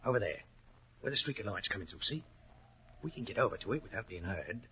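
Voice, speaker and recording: An actor playing a plain-spoken horse trainer in a 1940s radio drama, on a noisy vintage recording. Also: male voice, fairly low pitch, very animated, fast, sharp tone. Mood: hushed, wary. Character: gruff, bold English head horse trainer, respectful to gentlemen.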